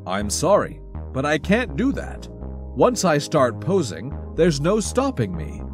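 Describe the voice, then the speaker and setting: A man's voice: a little high, huge pitch swings, measured pace, music in the background, pitched above the performer's own voice. A man voicing a stubborn, cocky bodybuilder intruder in an audio short story. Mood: cocky, insistent.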